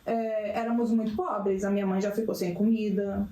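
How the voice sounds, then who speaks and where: A woman from Sweden, Portuguese her first language, telling her story as a podcast guest in casual chat, animated and emphatic. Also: medium pitch, normal speed. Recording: echoey room with faint background noise.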